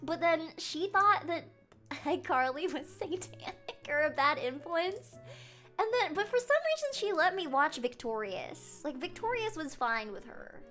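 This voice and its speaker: Chatty high-voiced woman streamer. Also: very animated, pushed and bright, soft background music. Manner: chatty and rambling, quick laughs. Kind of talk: casual live-stream chat, reading chat questions aloud.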